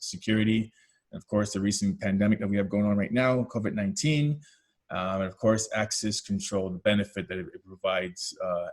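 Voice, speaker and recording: A Canadian man speaking at a fairly low pitch walks listeners through a product webinar. His tone is explanatory and loose, with many ums and uhs along the way. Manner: explanatory, many "um" and "uh" fillers, self-corrections. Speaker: technical team lead presenting a product webinar. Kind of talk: webinar presentation.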